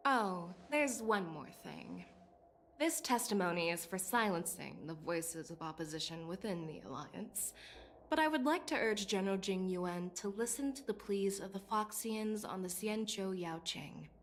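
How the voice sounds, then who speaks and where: A woman's voice: medium pitch, expressive, normal speed, slight background noise, lightly acted. An actress playing a composed, confident female general in a video game, speaking in an assured, formal, commanding way. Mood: firm. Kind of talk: video game voice acting, story dialogue.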